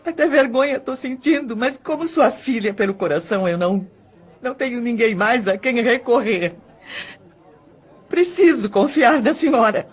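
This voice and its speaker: A woman's voice: on the high side, moderate pace, breathy edge. An actress playing a distressed, timid young woman in an audio drama, hesitant and pleading as she confesses. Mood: anxious, ashamed.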